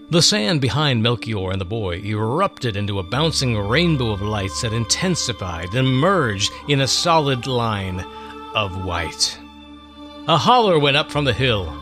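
Male narrator for dramatic audio storytelling, very expressive range, theatrical, music bed. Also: medium pitch. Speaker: host and storyteller.

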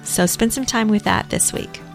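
A woman's voice: mid-range voice, moderate pace, gravelly, soft background music. A woman co-hosting a radio program, talking in an engaged, reflective, conversational way. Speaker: radio show co-host.

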